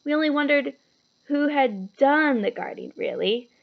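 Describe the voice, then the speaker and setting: A woman voicing a bright, earnest, persuasive schoolgirl in a children's storybook. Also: sits high, lively intonation, unhurried, creaky, phone-quality sound, pitched above the performer's own voice, full character voice. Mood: coaxing, flattering. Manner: coaxing, earnest.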